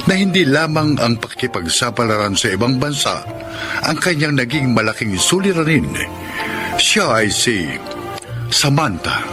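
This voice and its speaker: A man announcing and narrating a radio drama in a scripted, measured, declamatory style. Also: medium pitch. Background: loud music behind the voice.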